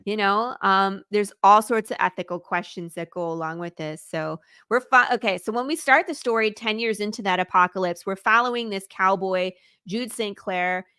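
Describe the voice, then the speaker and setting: A woman's voice: medium pitch, moderate pace, few pauses, sharp tone, smooth, dry recording, clean studio sound. A woman from Michigan with a Midwestern accent, chatting eagerly as a guest and laughing often. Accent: Midwestern American. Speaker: comic creator guest. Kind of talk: casual interview chat.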